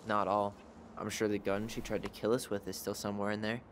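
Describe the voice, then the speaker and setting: A young man with a low voice played by a male game actor, guarded and sardonic. His lines come out dry and clipped over clearly audible ambient noise. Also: flat delivery, fairly quick, natural voice. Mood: sardonic, bitter. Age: young adult. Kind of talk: naturalistic video game acting.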